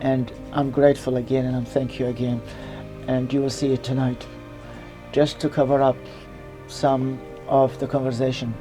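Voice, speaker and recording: A woman's voice: rumbling low, little pitch movement, moderate pace, music in the background, poor audio. A woman from Iraq, hosting her TV show in a reflective, rambling monologue with loose grammar. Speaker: TV show host.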